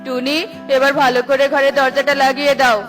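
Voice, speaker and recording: A woman voicing an impatient, nagging male bird, a husband, in a cartoon, with a scolding, hurried manner. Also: high voice, level tone, a bit bright, faint music behind. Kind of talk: cartoon voice acting.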